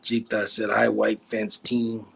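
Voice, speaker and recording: A man in his forties runs a relaxed livestream, reading viewer comments and ribbing his partner. His voice is gravelly and a bit husky, with a teasing, joking way of talking. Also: lowish voice, slow pace. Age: 40s. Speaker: livestream host.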